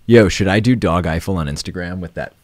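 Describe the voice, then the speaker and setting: Male live-stream host, low pitch, casual and unscripted, thinks aloud. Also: fairly quick, dry recording.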